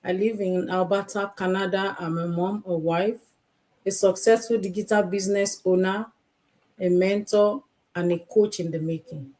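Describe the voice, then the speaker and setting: A woman with a Nigerian accent introducing herself as a mother, wife and mentor on a live stream, speaking slowly and deliberately. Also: mid-range voice, fairly even intonation, a little mellow, rough recording. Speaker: guest co-host.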